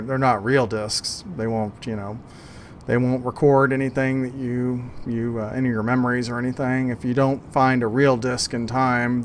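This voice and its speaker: A man voicing an older shopkeeper in a tabletop game, speaking in a measured, hesitant way over background noise. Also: medium pitch, lightly acted. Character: older male shopkeeper, a digital program dealing in discs.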